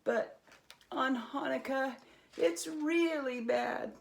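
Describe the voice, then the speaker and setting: A miserable storybook villager played by a woman, plaintive and raised in pitch. The delivery is slow and emphatic, full of fear and weary grievance. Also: gravelly, heavily acted. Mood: miserable, aggrieved. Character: sad, fearful villager, weary of tormenting goblins. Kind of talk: acted children's storybook reading.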